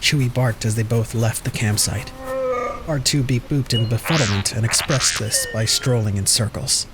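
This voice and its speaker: A man's voice: mid-range voice, moderate pace, background noise. A man reading scripted dramatic narration as the narrator of an audio story.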